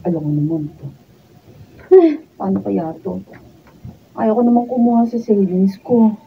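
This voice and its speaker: A woman playing a vain, breezy young working woman in a Filipino radio drama, with a husky voice and a Filipino accent. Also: mid-range voice, lively intonation, moderate pace, slight background noise, lightly acted. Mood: fretting. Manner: breezy, colloquial. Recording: dull, distant room pickup.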